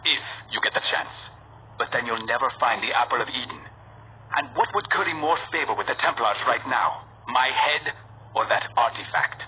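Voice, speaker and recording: A man's voice: medium pitch, animated, normal speed, close to an everyday voice. A man playing a cool, determined assassin in a video game, speaking in a terse, controlled, dry way. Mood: cool, taunting. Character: cool, determined, dryly taunting young male assassin. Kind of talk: video game dialogue acting. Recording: tinny narrow-band audio with noise.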